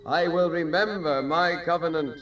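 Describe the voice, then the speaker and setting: A man playing a solemn, almighty God who proclaims slowly and with measured weight in a dramatized Bible story for children. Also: fairly high pitch, fairly bright tone, lo-fi sound, a little hiss or hum, close to an everyday voice. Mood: solemn promise. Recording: distant voice over background music.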